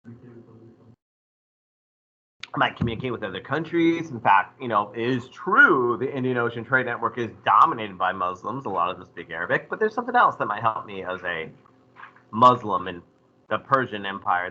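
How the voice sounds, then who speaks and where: A man teaching a history class, lecturing in a lively way and putting questions to his students. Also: fairly low pitch, moderate pace, lo-fi sound, slight background noise. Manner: animated, asks the class questions.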